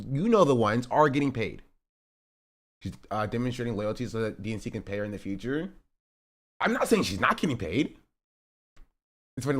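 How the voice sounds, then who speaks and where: A man in his twenties streaming, rambling through casual political commentary with sarcastic asides and self-corrections. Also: mid-range voice. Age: 20s.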